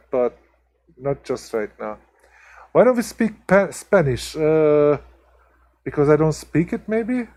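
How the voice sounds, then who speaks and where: Chatty Hungarian-accented streamer. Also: male voice, on the high side, darkish tone. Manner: chatty, talks to viewers by name, many ums and restarts. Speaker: livestream host. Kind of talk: casual chat with live viewers.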